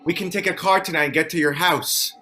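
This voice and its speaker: Man playing a bright-voiced wary survivor. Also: a little high, fairly even intonation, a little fast, a little hiss or hum. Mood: practical, cautious. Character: wary, practical male survivor. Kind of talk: scripted drama table read.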